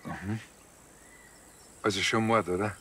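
A man's voice: lowish voice, steady tone, slow pace, background noise. An actor playing a naive, jittery middle-aged policeman with a Bavarian accent in a TV crime comedy. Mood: curious.

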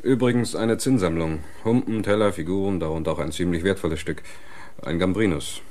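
An actor playing a terse, probing police lieutenant in a German radio crime drama. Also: male voice, low voice, fairly quick, darkish tone, close to an everyday voice. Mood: probing, dry. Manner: terse, dry.

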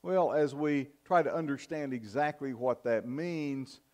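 An older man preaching a sermon in a church, in a measured, earnest style with emphatic pauses. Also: medium pitch, a little mellow. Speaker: pastor. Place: church sanctuary, at the pulpit. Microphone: fixed camera from the back of the church.

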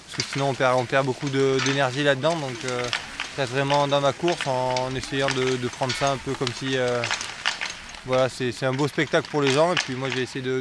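A young man athlete talking in a post-race interview outdoors at a snowy venue, measured and pausing often to find his words. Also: medium pitch. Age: young adult. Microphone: camera mic close to the face. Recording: outdoor noise in the background.

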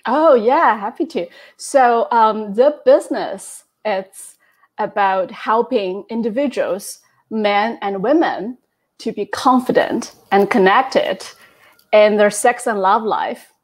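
A woman in her thirties from China with a Chinese accent, a coach speaking as a guest in a measured, friendly way with soft laughs, on earbuds over a video call. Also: mid-range voice. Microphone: wired earbud headset on a video call.

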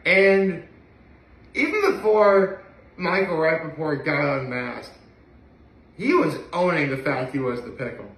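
Bright, high-pitched young man in an echoey room. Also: animated, measured pace, pauses often. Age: young adult. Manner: gushing, repeats phrases for emphasis. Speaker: video blogger. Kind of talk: casual solo chat to camera. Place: living room at home, indoors. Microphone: camera or phone a few feet away.

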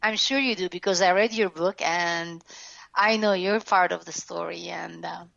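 Lebanese woman guest, reflective and frank, gravelly edge, dry dull sound. Casual interview storytelling. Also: fairly low pitch, normal speed, a bit bright, dry recording. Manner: frank, reflective, lots of fillers and restarts. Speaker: guest, author and former TV host.

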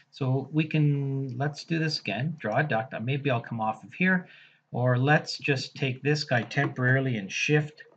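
Husky-voiced man, casual software tutor talking through clicks, dull lo-fi screen-capture recording. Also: mid-range voice. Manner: casual, thinking aloud while clicking through steps. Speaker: software instructor.

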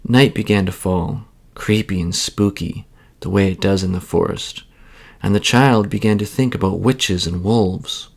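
A man narrating a children's bedtime story in a measured, expressive read-aloud style. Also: low voice, vocal fry.

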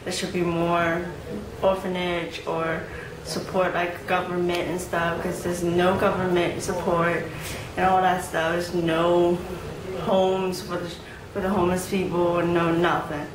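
A woman's voice: lowish voice, level tone, a little slow, crowd noise. A young woman from Vietnam speaking hesitantly to camera, with many fillers. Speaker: youth program member. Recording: echoey room, distant mic, background noise.

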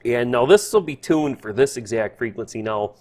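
A man's voice: medium pitch, expressive, normal speed, darkish tone. A man hosting a casual hobby tutorial, thinking aloud in a hesitant, folksy way. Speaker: host, hobbyist instructor. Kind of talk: casual spoken tutorial over screen.

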